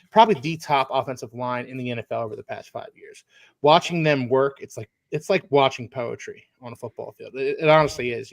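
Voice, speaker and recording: A man's voice: medium pitch, creaky, no echo. A man from Philadelphia hosting a casual sports chat, talking enthusiastically and at length. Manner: enthusiastic, expansive.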